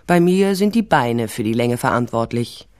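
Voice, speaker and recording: A woman's voice: a bass voice, expressive, moderate pace, a little mellow. A woman playing a tall, sardonic private detective in a crime radio drama, speaking and narrating in a dry, self-possessed way. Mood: wry, confiding. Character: tall sardonic female private detective, former police officer. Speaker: lead actor and first-person narrator.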